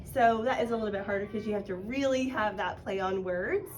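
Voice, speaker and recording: A woman's voice: medium pitch, brisk, slight background noise, low-quality recording. A woman teaching a casual one-on-one writing lesson, encouraging and guiding with questions. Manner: encouraging, explains by prompting with questions.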